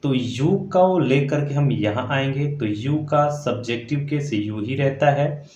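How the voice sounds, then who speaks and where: A man gives a step-by-step lesson in a medium-pitched voice, going back over his points and checking in often with short tag questions. The recording is roomy and echoing, with a faint layer of noise behind him. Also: measured pace, darkish tone, highs cut off. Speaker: English grammar teacher. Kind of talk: classroom lesson explained step by step. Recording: echoey room with faint background noise.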